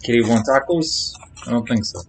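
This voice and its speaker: A man's voice: medium pitch, moderate pace, slight background noise. A man chatting casually on a live game stream, rambling to himself as he eats. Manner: rambling, talking to himself while eating.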